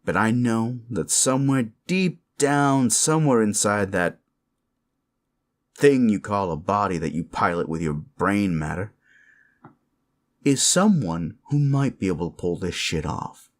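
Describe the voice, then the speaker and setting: A man's voice: on the low side, expressive, measured pace. A man voicing a sleazy, bullying werewolf boss in an audio roleplay, crude, condescending and threatening. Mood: menacing, contemptuous. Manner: menacing, crude, taunting, condescending. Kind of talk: roleplay audio drama monologue.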